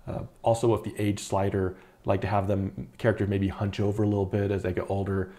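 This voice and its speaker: A man in his thirties, an indie game developer, talking casually through a screen-recorded devlog with a relaxed, rambling manner and many fillers. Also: lowish voice, a little fast. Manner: relaxed, chatty, frequent uh fillers and repeated words. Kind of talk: casual video devlog narration.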